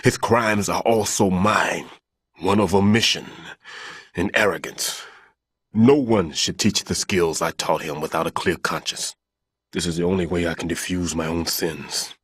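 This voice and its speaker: An actor playing an older male bomb-disposal expert in a video game cutscene, grave and measured, with game music behind him. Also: low-pitched, fairly bright tone, breathy edge, no echo, clean studio sound, heavily acted. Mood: remorseful. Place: recording studio. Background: game score music.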